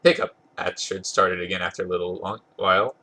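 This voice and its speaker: Congested, husky man reading a story aloud. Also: low-pitched, steady tone, brisk, pushed and bright. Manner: amused, stumbles over words and corrects himself. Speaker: podcast host reading fan fiction aloud. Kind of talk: dramatic reading of a comic story.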